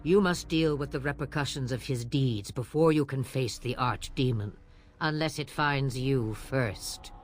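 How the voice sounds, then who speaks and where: A woman's voice: rumbling low, unhurried. An actress playing a sardonic, weary and imperious old witch of the wilds in a fantasy game, with an aged, theatrical delivery. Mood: grave. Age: older. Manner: theatrical, wry, dismissive. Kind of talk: fantasy video game voice acting.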